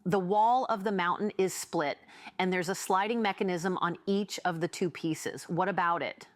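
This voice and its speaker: A woman's voice: lowish voice, normal speed, no room sound, natural voice. Woman voicing a cool, unfazed woman, close and dry. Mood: nonchalant. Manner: purring, casual, unbothered. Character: cool, nonchalant woman comrade, unfazed and teasing. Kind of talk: audiobook character voice.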